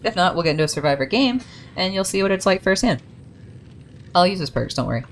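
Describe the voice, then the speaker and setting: A woman's voice: lowish voice, sing-song, fast talker, slight background noise. A woman gaming creator reading game lore aloud, breaking off for chatty asides about perks and pronunciation. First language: English.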